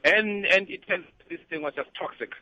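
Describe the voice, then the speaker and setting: A South African man speaks as a phone-in caller in a fairly high voice. He argues conversationally, stumbling and repeating words, over a slightly muffled, dry line. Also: no room sound. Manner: halting, repeats words while making his argument. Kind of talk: conversational opinion. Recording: dull band-limited call-in line.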